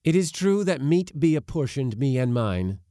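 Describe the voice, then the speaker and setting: A man's voice: medium pitch, sing-song, normal speed, warm tone, no echo, studio quality, pitched above the performer's own voice, natural voice. A man voicing a proud, defiant boy who declaims formally before a council. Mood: earnest. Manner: declaiming, formal old-fashioned speech.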